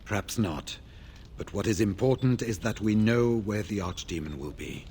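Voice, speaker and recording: An actor playing a grave veteran warden scout in a fantasy video game, speaking in a formal, measured way. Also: male voice, fairly low pitch, animated, darkish tone, slight background noise, close to an everyday voice.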